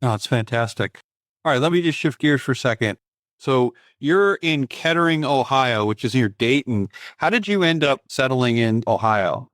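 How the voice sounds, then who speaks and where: A man's voice: mid-range voice, animated, normal speed, a bit bright, dry recording, studio quality. Conversational male podcast host. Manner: conversational, thoughtful, chatty. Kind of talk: podcast interview.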